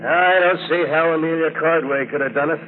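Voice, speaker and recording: Male actor as doubting police inspector, fairly high voice, blunt and brisk, tinny old radio sound. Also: steady tone, lightly acted. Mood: sceptical. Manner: blunt, sceptical. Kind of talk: 1940s radio mystery acting. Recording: narrow, tinny, noisy 1940s radio recording.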